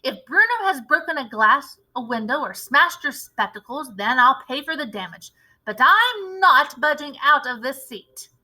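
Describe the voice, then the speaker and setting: A woman's voice: high-pitched, lively intonation, moderate pace, sharp tone, pitched above the performer's own voice, full character voice, low-quality recording. A woman voicing a very large, coarse, impatient man in a storybook, brusque and pompous in manner. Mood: blustering. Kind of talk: storybook read-aloud character voice.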